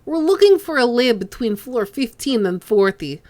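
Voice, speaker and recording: A woman reading the lines of a businesslike male corporate agent in a measured, explanatory way. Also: fairly high pitch, very animated, darkish tone, dry recording.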